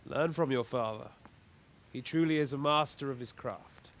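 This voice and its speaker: An actor voicing a proud, courteous knight who speaks formally. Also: male voice, mid-range voice, normal speed, a bit bright, slight background noise, close to an everyday voice.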